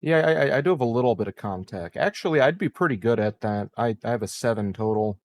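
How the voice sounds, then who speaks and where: A man's voice: mid-range voice, fast, no room sound. A man chatting casually as a player in a tabletop game. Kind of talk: casual chat during a game.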